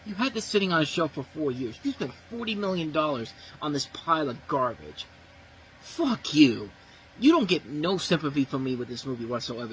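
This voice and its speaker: A man's voice: on the high side, a bit bright, a little hiss or hum, rough recording. A man giving a blunt, profane, exasperated movie review, ranting about what went wrong. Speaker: film reviewer.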